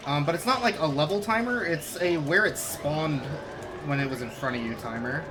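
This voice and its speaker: A man's voice: fairly high pitch, moderate pace, rough recording. A man giving casual, rambling, self-mocking commentary while he plays through a speedrun live.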